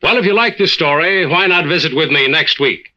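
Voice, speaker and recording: An actor playing a genial, considerate adventurer who hosts and narrates an old radio drama. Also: male voice, a little high, brisk, pushed and bright. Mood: genial, intriguing. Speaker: series lead and narrator-host. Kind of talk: 1940s-50s radio drama and hosting. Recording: muffled old radio recording.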